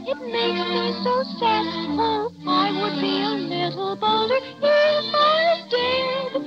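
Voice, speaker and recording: Brief: shy old cat's song, very high and slow, loud music behind. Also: female voice, full character voice, rough recording. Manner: sung, sweet and plaintive. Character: timid old female cat singing her song. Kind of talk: 1930s radio musical number.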